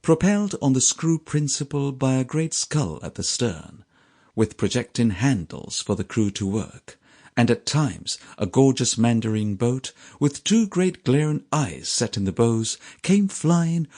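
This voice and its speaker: A man reading a literary sea story as an audiobook narrator, with a measured, theatrical storytelling delivery. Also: mid-range voice, darkish tone.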